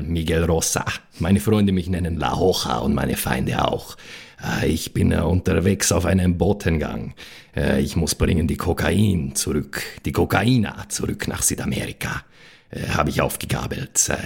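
Gravelly, swaggering Latin gangster. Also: male voice, sits low, a little mellow, pitched below the performer's own voice, heavily acted. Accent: Spanish. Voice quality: gravelly, husky. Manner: boastful, halting broken German. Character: swaggering Latin drug courier and ruthless gangster. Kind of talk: in-character tabletop role-play podcast.

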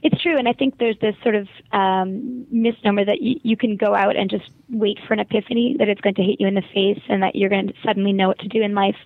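A woman's voice: mid-range voice, steady tone, quick pace, creaky, hardly any pauses, no room sound. A Canadian woman from Montreal calls in as a guest and speaks in a thoughtful, articulate way. Her voice comes through a tinny phone line. The sound is dry and close, with no room around it. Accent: Canadian English. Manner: thoughtful, articulate, laughs easily. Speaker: guest, travel writer. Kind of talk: phone-in interview.

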